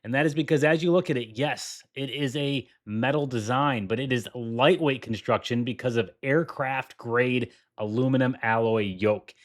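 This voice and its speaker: A man's voice: medium pitch, moderate pace, hardly any pauses. A man hosting a tech video, walking through a product demo with enthusiasm and repeating his points for emphasis. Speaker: tech reviewer.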